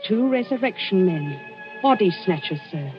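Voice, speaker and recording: A housekeeper with a very animated, swooping delivery. She is fussy, gossipy and deferential, heard on a dull 1940s radio recording. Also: lowish voice, normal speed, faint music behind.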